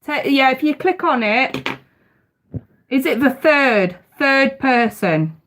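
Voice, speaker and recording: A woman's voice: high voice, animated, slow pace, fairly bright tone, a little hiss or hum. A woman, casually hosting a live tarot stream, chatty and talking straight to her viewers, her voice bunged up with congestion. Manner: chatty, addresses viewers directly.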